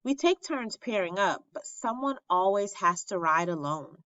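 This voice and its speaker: Bright-voiced woman reading a children's story. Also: mid-range voice, animated, unhurried. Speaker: storybook reader at an elementary school. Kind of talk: expressive read-aloud of a children's story. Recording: roomy, slightly dull lo-fi recording.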